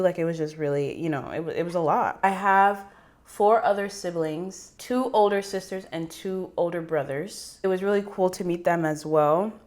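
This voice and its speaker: Young American woman chatting, slightly low voice. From New York City, United States. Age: young adult. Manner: rambling, chatty, slangy. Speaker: vlogger. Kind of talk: casual personal storytelling.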